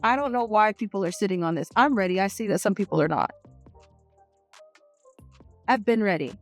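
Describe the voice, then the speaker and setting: A woman's voice: medium pitch, animated, brisk, faint music behind. Blunt woman giving informal commentary.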